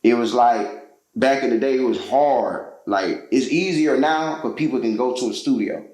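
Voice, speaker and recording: A man's voice: mid-range voice, moderate pace, a little mellow, echoey room, poor audio. A man from Georgia, a musician telling his story as a guest in a casual interview, loose and slangy with lots of fillers.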